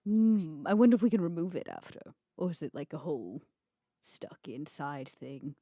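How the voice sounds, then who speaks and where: A woman playing a mocking, coolly sadistic vampire interrogator, making deliberate, sardonic threats in a tabletop game. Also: low-pitched, sing-song, warm tone, no room sound, studio quality, full character voice. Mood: cool menace. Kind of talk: tabletop role-play.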